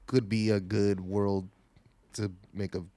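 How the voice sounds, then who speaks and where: A man's voice: fairly low pitch, little pitch movement, measured pace, dark tone, no echo. A man co-hosting a podcast, talking casually with the others. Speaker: podcast co-host. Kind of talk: casual chat.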